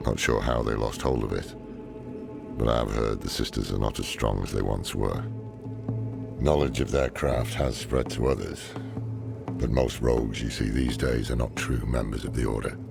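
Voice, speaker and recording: An actor playing a grave, weary old scholar in a video game, speaking in a brooding, measured way with a gravelly voice. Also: male voice, steady tone, heavily acted. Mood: grave, informative. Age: older. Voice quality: deep gravelly voice. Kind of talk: dramatic video game acting. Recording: loud background music.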